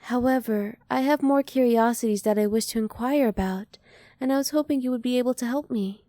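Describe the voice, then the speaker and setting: A woman playing a curious, emotionally reserved female alien in an ASMR roleplay, soft-spoken, with formal, measured and precise wording. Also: fairly high pitch, dry recording, natural voice.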